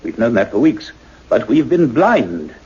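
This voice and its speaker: An imperious, theatrical scientist, swooping delivery. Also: male voice, mid-range voice, very animated, unhurried, darkish tone, husky, full character voice. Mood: grave, awed. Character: commanding, urgent male scientist, a learned doctor. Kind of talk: sci-fi radio play acting. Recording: muffled, noisy old radio drama recording.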